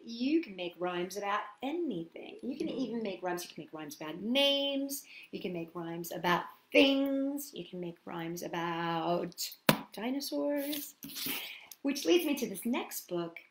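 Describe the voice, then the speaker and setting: A woman's voice: mid-range voice, reverberant, slight background noise. A middle-aged woman hosting a children's storytime, chatting to young listeners conversationally and putting questions to them. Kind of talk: storytime chat to young children, with read-aloud and singalong.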